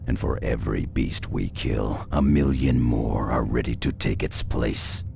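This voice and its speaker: A man playing a grim veteran space marine scout sergeant in a video game, grave and measured, with a gravelly voice. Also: steady tone, darkish tone, a little hiss or hum, full character voice. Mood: ominous, grave. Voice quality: gravelly, very deep. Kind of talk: dramatic video game acting. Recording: music behind the voice.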